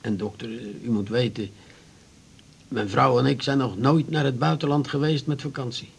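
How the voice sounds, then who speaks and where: An actor playing a meek, grieving widower in a 1980s radio drama, halting and low-spirited, with a gravelly, husky voice. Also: male voice, steady tone. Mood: pained, aggrieved. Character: grieving, hesitant widower who drinks, meek and wounded.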